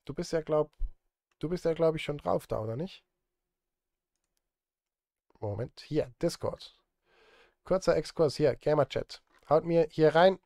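A man's voice: fairly high pitch, measured pace, gravelly, long pauses, a little mellow, dry recording, studio quality. A man in his thirties chatting casually on a live stream while he works, rambling and muttering to himself in a self-deprecating way. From Switzerland. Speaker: live streamer and film composer. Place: indoor desk at a streaming setup.

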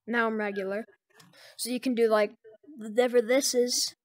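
A speaker giving a casual, off-the-cuff software tutorial in a loose, rambling manner as host. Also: medium pitch, fairly even intonation, moderate pace, dry recording. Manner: rambling, loosely strung instructions.

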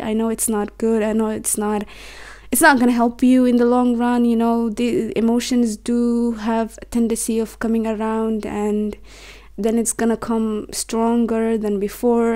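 A woman's voice: fairly high pitch, dark tone. A woman in her twenties whose first language is Somali, talking to the camera in a small bedroom, rambling and stumbling over her words as she reflects aloud. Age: 20s. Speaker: vlogger. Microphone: camera on a desk, facing her.

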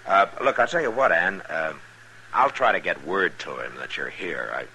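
An actor playing a watchful, lonely American marshal in a 1950s radio western. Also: male voice, sits low, animated, normal speed, pushed and bright, heavily acted. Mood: easygoing. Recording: noisy 1950s radio broadcast recording.

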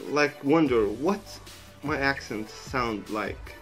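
A man's voice: mid-range voice, slow, a bit bright, soft background music. A man in his thirties, a native Arabic speaker with an Arabic accent, chatting loosely in a reaction video, rambling and swearing casually, recorded in a small room on earphones. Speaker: reaction vlogger. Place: small indoor room with a sheet hung behind. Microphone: wired earphone mic.

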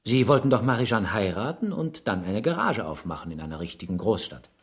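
An actor playing a shrewd, insistent police inspector who probes and presses a suspect in a radio crime drama. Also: male voice, lowish voice, big pitch swings, brisk. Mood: insinuating. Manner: probing, pointed, pressing questions.

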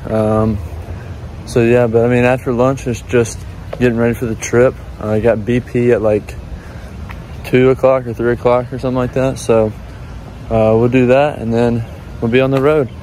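A man's voice: mid-range voice, little pitch movement, normal speed, dark tone, slight background noise. Laid-back young man vlogging to his phone. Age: young adult. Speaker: college baseball player vlogging. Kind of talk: casual vlog narration. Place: outdoors on campus and in a campus restaurant. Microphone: phone camera held at arm's length.

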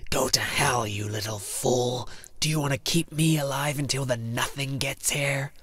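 A man voicing a dying werewolf in a storybook, bitter, menacing and weary, with a breathy, whispery growl. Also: mid-range voice, a bit bright, no echo, voice pushed up, lightly acted. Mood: scornful. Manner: slow, drawn-out, scornful delivery.

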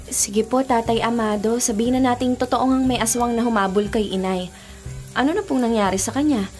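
A woman plays a young student in a radio drama. Her voice is fairly high, and she speaks politely with an anxious edge. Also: fairly quick, darkish tone, soft background music, lightly acted. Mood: polite, concerned. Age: young adult. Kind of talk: Filipino radio drama acting. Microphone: studio mic.

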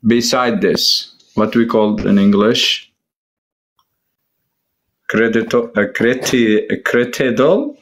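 A middle-aged man talks at a slow, deliberate pace on a live-stream debate. His English halts as he searches for words. He is recorded close on a phone in a room at home. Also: lowish voice, a little hiss or hum. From Syria.

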